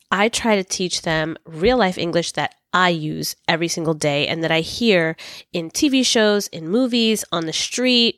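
A woman hosting a podcast English lesson, speaking clearly and patiently while recovering from a cold. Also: mid-range voice, no echo, studio quality. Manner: clear, patient teaching delivery. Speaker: English teacher and podcast host.

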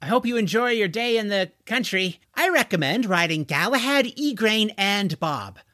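A man voicing an anxious young stable hand with a secret, by turns hushed and pleading or falsely cheerful, in an audiobook. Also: high voice, animated, moderate pace, no echo, studio quality, pitched above the performer's own voice. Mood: sheepish, bright. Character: anxious young male stable hand hiding a baby dragon.

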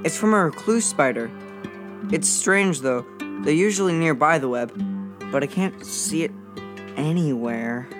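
A woman playing a bookish, calm schoolboy in a children's audio drama, earnest and keen to explain things. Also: deep voice, a little slow, long pauses, music in the background. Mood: calmly explanatory. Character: bookish, calm, science-loving school-age boy.

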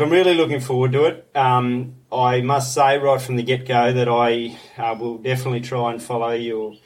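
Man, hesitant interviewer, lots of ums, mid pitch, a bit off-mic. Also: distant mic. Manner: hesitant and self-conscious, many ums and uhs. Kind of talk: casual podcast interview. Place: outdoors on a back veranda.

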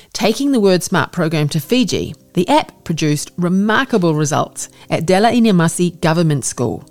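A woman reading a magazine article aloud in an even, formal, scripted way. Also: lowish voice. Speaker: narrator.

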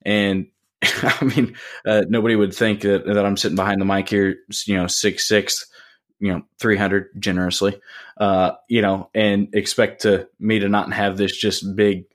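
A man hosting a podcast interview, with a loud, deep, growly voice and a casual, rambling way of talking. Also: little pitch movement, moderate pace. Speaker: podcast host. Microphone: studio podcast mic.

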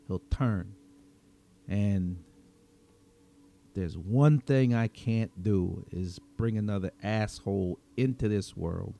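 A man narrating a dramatic story in the first person as a cynical, wry, menacing vigilante vampire, with sardonic, slangy delivery and dramatic pauses. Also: sits low, dark tone. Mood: bitter resolve.

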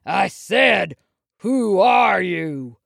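Lady doing a grumpy male bear bully, blustery cowboy talk, low, full of himself. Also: takes it slowly, creaky, dry recording, studio quality, exaggerated voice. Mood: angry. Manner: blustering, folksy western talk.

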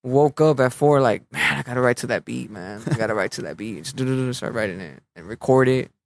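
A young man of Mexican heritage, a rapper guest in a relaxed interview, talking in easy slang and letting his phrases fade out quietly. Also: mid-range voice, fast talker, creaky. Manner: laid-back, slangy, trails off softly at phrase ends.

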